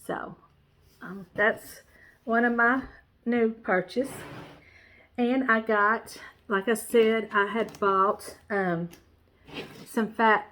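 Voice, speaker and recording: A woman's voice: mid-range voice, slight background noise. Congested woman chatting. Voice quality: nasal. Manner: rambling, warm, many fillers and restarts. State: stuffy, congested nose. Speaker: cross-stitch vlogger. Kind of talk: casual show-and-tell chat.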